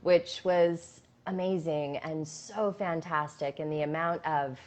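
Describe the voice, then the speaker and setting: A woman's voice: low voice, fairly even intonation, slow, a little mellow. A young adult woman coach talking casually in a live stream, flowing and enthusiastic with many fillers and restarts, into a phone. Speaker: intimacy coach guest. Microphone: phone on a stand.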